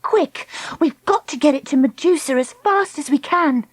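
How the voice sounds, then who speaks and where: A woman voicing a tough, guarded young girl in a storybook, bossy and curt, quick to snap. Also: on the high side, lively intonation, dry recording, voice pushed up. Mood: alarmed. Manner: curt, snappy, insistent.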